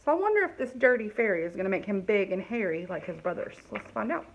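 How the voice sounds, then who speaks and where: A woman reading a picture book aloud to children, pausing to explain the story and ask questions. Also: mid-range voice, animated, dull audio. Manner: explanatory, asks listeners questions.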